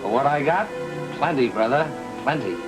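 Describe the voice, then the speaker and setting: An actor playing a brash, wheedling small-time tipster in a noir radio drama, with cocky, pushy, slangy talk. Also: male voice, mid-range voice, expressive, normal speed, close to an everyday voice. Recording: old-time radio drama, music underneath.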